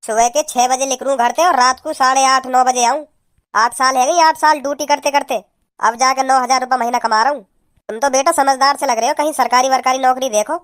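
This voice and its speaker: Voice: high-pitched, fairly even intonation, fairly quick, fairly bright tone. A performer voicing a weary, earnest working uncle who gives advice in cartoon dialect, repeating phrases for emphasis. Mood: earnest, regretful. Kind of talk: comic dialect dialogue in a cartoon.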